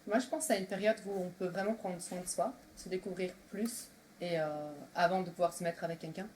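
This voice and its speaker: A woman's voice: on the low side, fairly even intonation, fairly quick, a little mellow. A woman of thirty-four from Belgium, talking casually as a guest in a group discussion. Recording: faintly noisy room recording.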